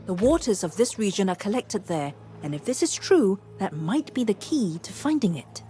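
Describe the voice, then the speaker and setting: An actress playing an earnest, scholarly fantasy adventurer in a video game, articulate and expressive. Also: female voice, medium pitch, normal speed, soft background music, close to an everyday voice. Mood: thoughtful, intent. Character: eager, scholarly female fantasy adventurer ally. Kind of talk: dramatic video game dialogue.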